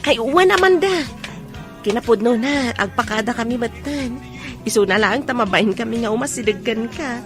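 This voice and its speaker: An actress playing a gossipy friend of the bride in a radio drama, with an Ilocano accent. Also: female voice, a little high, expressive, normal speed, music in the background, natural voice. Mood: chatty.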